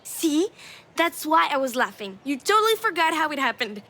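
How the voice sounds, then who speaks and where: A woman's voice: high voice, very animated, normal speed, sharp tone, exaggerated voice. A woman playing an imagined young daughter in a narrative video game, knowing and pointedly questioning. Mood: teasing, probing. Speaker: voice actor in a visual novel game. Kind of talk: dramatic game dialogue.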